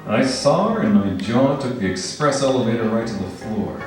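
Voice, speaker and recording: A man playing a parody hard-boiled private eye with tough-guy deadpan delivery. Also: mid-range voice, normal speed, very echoey, big-room sound, music in the background, lightly acted, poor audio.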